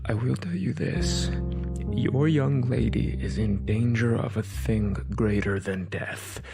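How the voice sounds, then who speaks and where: An actor playing a grave older foreign professor with a gravelly voice and halting, ominous, stilted phrasing. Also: male voice, on the low side, music in the background. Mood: ominous warning.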